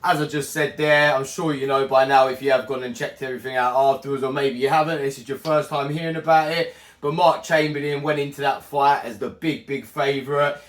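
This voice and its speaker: A man with a croaky, strained, hoarse voice hosting a casual boxing recap, rambling and correcting himself as he goes. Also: mid-range voice, fairly even intonation, fairly quick, reverberant. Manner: chatty, rambling, self-correcting. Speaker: boxing channel host. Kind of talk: casual fight recap monologue.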